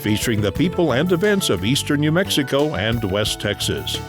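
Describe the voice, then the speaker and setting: A man announces a programme in scripted style, with an animated, varied pitch. Music plays clearly behind his voice. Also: fairly quick. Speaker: show announcer. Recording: music bed under the voice.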